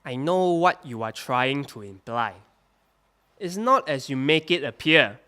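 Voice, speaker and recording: A man playing a defensive wartime interpreter on the witness stand, speaking halting, slightly broken English as a non-native speaker. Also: medium pitch, expressive, sharp tone. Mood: indignant. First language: not English. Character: evasive wartime interpreter for military police, male, defensive witness. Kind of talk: audio drama acting.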